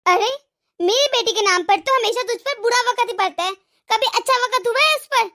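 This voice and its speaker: A woman voicing a scolding, sarcastic, demanding mother-in-law in an animated family drama. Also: very high voice, brisk. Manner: nagging, sarcastic. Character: scolding, demanding mother-in-law, fiercely partial to her daughter.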